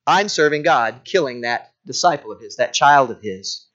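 A man's voice: medium pitch, animated, moderate pace, fairly bright tone. A man preaching a sermon as a pastor, telling personal stories and asking the congregation rhetorical questions. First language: English. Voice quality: conversational storytelling with rhetorical questions. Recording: dull lo-fi recording.

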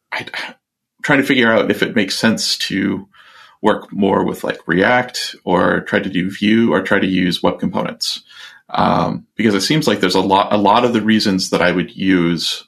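Deep gravelly man chatting about tech. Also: fairly bright tone. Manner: rambling, hesitant, many restarts and fillers. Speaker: podcast co-host. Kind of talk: casual tech chat.

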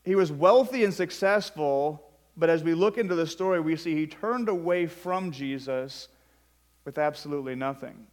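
A man's voice: a little high, normal speed. A young man preaching an evening sermon as a fill-in pastor, in a conversational way with frequent fillers and joking asides. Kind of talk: sermon with storytelling.

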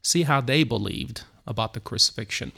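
Turkish-accented man, gravelly and halting. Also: mid-range voice, bright, forceful tone. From Turkey. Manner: halting, many fillers and restarts. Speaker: church planter. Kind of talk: interview guest telling his story.

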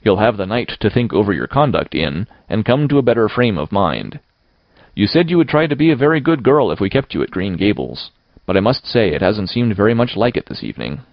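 A man's voice: on the low side, very animated, fairly quick, creaky, dry recording, muffled recording. A man voicing a severe, dry middle-aged woman guardian who scolds in a storybook reading. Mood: dry, stern.

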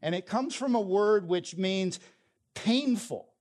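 A man's voice: sits high, lively intonation, slow, darkish tone. A man preaching a sermon, telling a story and quoting scripture with emphasis. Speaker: pastor.

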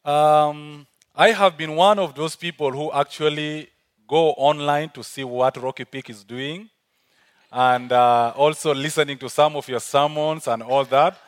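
Earnest South Sudanese preacher, fairly high voice. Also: male voice, steady tone, measured pace, clean tone. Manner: earnest, repeats key phrases for emphasis. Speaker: guest preacher. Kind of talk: sermon.

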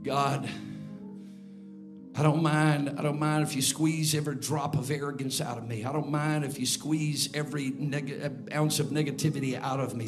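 A man preaching a sermon in a church, swinging between low, hushed lines and loud, near-sung calls to the congregation. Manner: builds from quiet, low delivery to loud, near-sung shouting. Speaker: bishop preaching. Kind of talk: Pentecostal sermon. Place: church sanctuary before a congregation.

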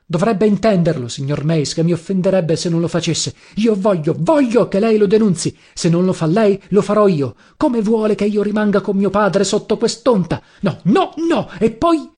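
Man voicing an impassioned, high-pitched young woman. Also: expressive, normal speed, hardly any pauses, dark tone. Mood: fiery indignation. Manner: impassioned, urgent pleading. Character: distressed, indignant, passionate young woman, pleading and proud.

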